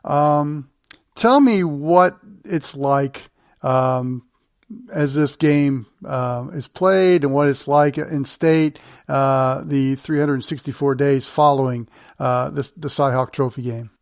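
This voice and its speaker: An American man hosting a sports interview, putting his questions in halting, deliberate phrases. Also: mid-range voice, dry recording, clean studio sound. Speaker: sports show host, interviewer.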